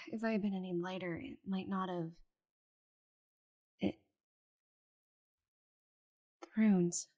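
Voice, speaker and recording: A woman voicing a proud, stubborn, bitter female dragon in a dramatic audio roleplay, with a breathy voice and haughty, sighing delivery. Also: mid-range voice, fairly even intonation, slow, pauses often, dark tone. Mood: anxious. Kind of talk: scripted audio roleplay monologue.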